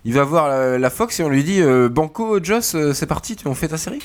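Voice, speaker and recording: A man from France co-hosting a casual podcast, joking and quick to chime in. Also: fairly high pitch, animated. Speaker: podcast co-host. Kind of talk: casual chat.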